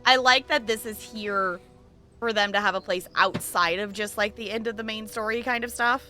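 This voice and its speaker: A woman's voice: medium pitch, steady tone, normal speed, bright, forceful tone. A woman giving casual, chatty livestream commentary while playing a video game, with music behind her. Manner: informal, chatty.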